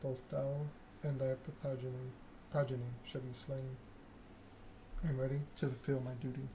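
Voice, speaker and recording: A man reading a story game aloud in an ASMR style, with hushed, measured narration and dialogue. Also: medium pitch, little pitch movement, background noise.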